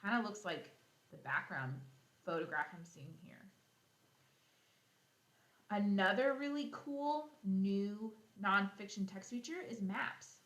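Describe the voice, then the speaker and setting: Female primary teacher for a kids' read-aloud, bright and crisp-edged, instructive with question-and-answer phrasing, recorded in a roomy space. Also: medium pitch. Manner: animated, instructive, asks questions and answers them herself. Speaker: elementary school teacher. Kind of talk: read-aloud lesson for young children, explaining as she reads.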